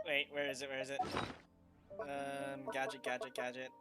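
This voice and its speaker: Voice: mid-range voice, monotone, moderate pace, loud music behind the voice. A game streamer giving casual, mumbled commentary over gameplay. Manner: mumbling asides.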